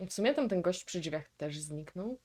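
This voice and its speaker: A Polish woman hosts a casual reaction video. Her voice sits on the low side and is very soft-edged and dark in tone. She comments in a musing way, reasoning aloud about what she watches. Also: expressive, normal speed.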